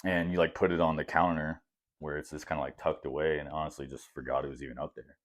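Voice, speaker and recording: Casting: young man, extremely low deep voice, easygoing rambling podcast talk. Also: level tone, fast. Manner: laid-back, rambling with many fillers. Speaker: podcast co-host. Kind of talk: casual chat.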